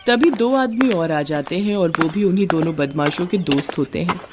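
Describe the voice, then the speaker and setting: A woman reads a scripted moral tale as its narrator. Her voice sits a little low for a woman, and the recording carries some noise. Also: moderate pace. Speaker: story narrator.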